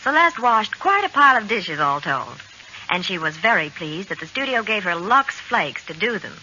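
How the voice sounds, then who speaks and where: Woman, radio commercial, scripted chat, bright voice, 1940s, muffled with faint hiss. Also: medium pitch, very animated, moderate pace. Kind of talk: 1940s radio advertising patter.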